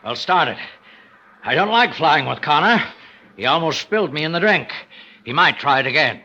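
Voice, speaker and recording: An actor playing a smug, menacing embezzler and killer with a cynical sneer, in old-time radio suspense style. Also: male voice, a little high, big pitch swings, normal speed, pushed and bright, full character voice. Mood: curt. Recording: dull, noisy vintage radio recording.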